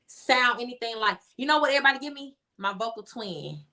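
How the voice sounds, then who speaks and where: A woman's voice: fairly high pitch, animated, brisk, pushed and bright. A woman speaking casually as an interview guest, emphatic and repeating phrases to make her point. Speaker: interview guest, singer-songwriter.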